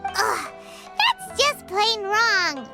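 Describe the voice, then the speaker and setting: Very high, squeaky fairy companion. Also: female voice, wildly swooping pitch, extremely slow, exaggerated voice. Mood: disapproving. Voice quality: squeaky, childlike. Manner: inquisitive, exclamatory. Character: small childlike fairy companion, curious, speaks in third person. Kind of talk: video game voice acting. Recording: loud music behind the voice.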